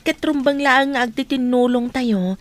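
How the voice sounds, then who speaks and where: A woman with an Ilocano accent playing a gentle, supportive friend, earnest and comforting, in a radio drama. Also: high voice, moderate pace, lightly acted.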